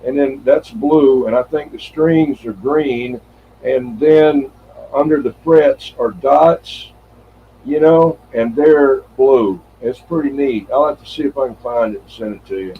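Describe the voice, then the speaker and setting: A man's voice: medium pitch, a little slow, slight background noise. A man in casual, rambling, folksy conversation, hosting a CB radio live stream. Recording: dull, lo-fi CB radio sound.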